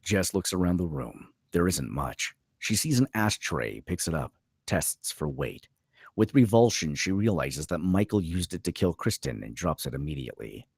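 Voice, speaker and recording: A man's voice: sits low, very animated, normal speed, creaky, husky, no room sound, studio quality. A man reading stage directions as narrator at a screenplay table read.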